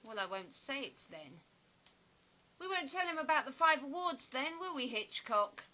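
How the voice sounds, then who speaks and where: An actress playing an eccentric, nervous former actress in a dystopian audio play, rambling with theatrical emphasis. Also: female voice, high-pitched, sing-song, normal speed, pushed and bright, heavily acted. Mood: defensive.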